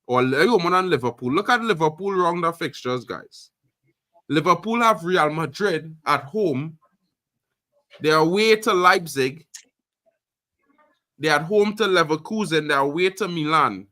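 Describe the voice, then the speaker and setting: A man from Trinidad hosts a casual live football stream, talking loudly and excitedly with repeated catchphrases. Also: on the high side, unhurried, pauses often, no echo. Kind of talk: casual live sports chat.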